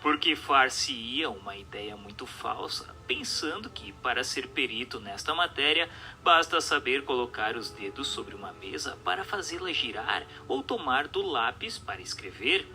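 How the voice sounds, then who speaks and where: A man reading scripted narration in a formal, measured, didactic way. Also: medium pitch, animated, sharp tone, low-quality recording. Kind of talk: scripted audiobook-style narration. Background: music.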